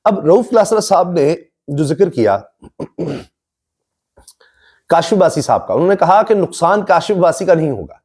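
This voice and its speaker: Mellow-voiced Pakistani man giving news commentary. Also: mid-range voice. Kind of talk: political commentary monologue to camera.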